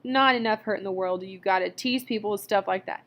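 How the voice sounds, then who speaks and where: A woman's voice: mid-range voice, fairly quick, a bit bright, close to an everyday voice. A woman voicing a prim, indignant middle-aged housewife who whines and scolds.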